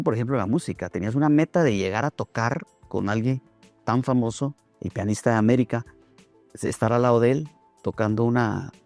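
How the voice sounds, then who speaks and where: A man hosting a conversational interview, philosophizing in a halting way and repeating words as he thinks. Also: medium pitch, a little mellow, no echo. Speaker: podcast host interviewing a guest.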